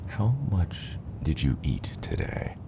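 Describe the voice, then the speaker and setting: A man playing a gentle giant father dragon patiently teaching his hatchling in a hushed, reassuring ASMR roleplay, his voice breathy and gravelly. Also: wildly swooping pitch, slow, full character voice. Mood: explaining. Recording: loud low rumbling noise.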